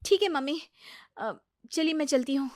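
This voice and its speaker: A woman's voice: high voice, moderate pace, darkish tone, no room sound, clean studio sound, close to an everyday voice. A woman voicing a self-important, busy young daughter-in-law with a dismissive manner in a dramatised moral story. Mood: hurried.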